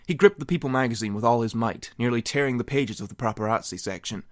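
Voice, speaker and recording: A man's voice: medium pitch, quick pace, dry recording, studio quality. A man narrating comedic fan fiction in a mock-serious, theatrical way with wry asides. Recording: music behind the voice. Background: music.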